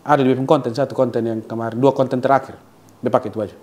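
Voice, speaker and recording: A man's voice: mid-range voice, very fast. A young man from Soe with a Timorese Soe accent, chatting casually and jokingly as an interview guest. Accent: Soe, Timor (Kupang Malay). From Soe, South Central Timor, Indonesia. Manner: relaxed, rambling, joking, regional slang.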